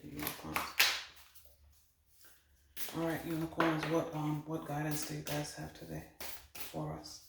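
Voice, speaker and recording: Gravelly man giving a roomy spirit reading. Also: on the high side, completely flat delivery, a little slow, pauses often, heavy background noise. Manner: meandering, thinks aloud, relays spirit messages. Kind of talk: channeled spiritual card reading. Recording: roomy echo.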